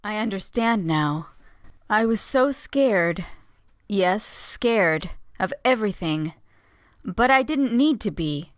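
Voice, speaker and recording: A woman's voice: medium pitch, animated, takes it slowly, no echo, studio quality. A woman playing an eerie, troubled girl in a gothic tabletop audio drama. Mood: eerie, dawning realization.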